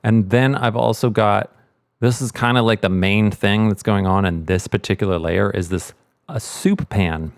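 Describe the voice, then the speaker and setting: A man's voice: on the low side, fairly even intonation, moderate pace, gravelly, no room sound, studio quality. A man giving a casual sound-mixing tutorial, thinking aloud informally as he demonstrates.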